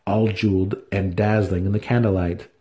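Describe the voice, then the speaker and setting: A man's voice: low-pitched, level tone, brisk, dark tone. A man giving a dramatic reading of a fantasy story as its narrator. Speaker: audiobook narrator.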